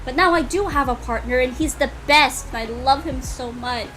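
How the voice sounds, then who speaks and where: A giggly, animated woman chatting casually and in slang on a livestream while she plays a game, poking fun at herself. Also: sits high, moderate pace, low-quality recording. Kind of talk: casual livestream chat while playing a visual novel. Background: music.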